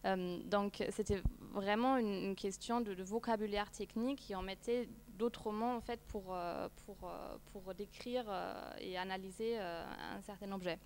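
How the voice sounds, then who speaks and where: A woman's voice: medium pitch, fairly even intonation, unhurried, few pauses, a little mellow, slight background noise. A woman in her thirties, a non-native French speaker, presenting a research project at a seminar, with many fillers and restarts. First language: not French. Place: seminar room, seated at a long table.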